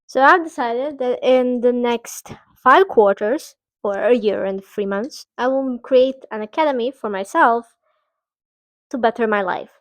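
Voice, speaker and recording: A woman's voice: on the high side, a little slow, no echo. Chatty young woman vlogging. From Bosnia. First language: Bosnian. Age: 20s. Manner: chatty, self-deprecating, restarts and asides. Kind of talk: casual talking-to-camera vlog.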